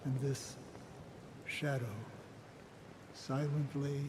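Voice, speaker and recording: Grave, cryptic male game character, unhurried and measured, heavy reverb with clear rain noise. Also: medium pitch, completely flat delivery. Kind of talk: dramatic game dialogue. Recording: long reverb, rainy storm noise.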